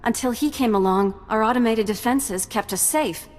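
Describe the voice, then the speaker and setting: An actress playing an earnest research facility survivor in a video game, explaining things with concern. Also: female voice, a little high, normal speed, close to an everyday voice. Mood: worried. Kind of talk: video game cutscene acting. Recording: long hall reverb, music behind.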